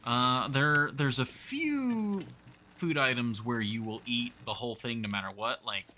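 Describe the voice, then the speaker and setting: A man's voice: mid-range voice, expressive, measured pace, bright, forceful tone, a little hiss or hum. A man livestreaming a survival game with casual commentary, thinking aloud and chatting to viewers. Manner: thinks aloud, talks to chat.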